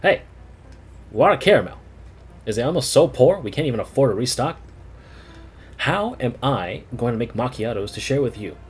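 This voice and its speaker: A brash young woman cook from a game, acted out by a man, pitched up and loud. The manner is playful and bossy, excitable and easily flustered. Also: normal speed, pauses often, husky. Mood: indignant, flustered.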